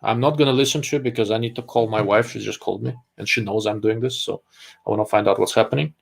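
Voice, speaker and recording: A male host talks in a loose, rambling way, stopping often on ums and uhs. His voice sits low and carries a gravelly rasp. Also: steady tone, quick pace. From Russia. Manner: rambling, frequent fillers and restarts. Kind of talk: live-stream casual chat.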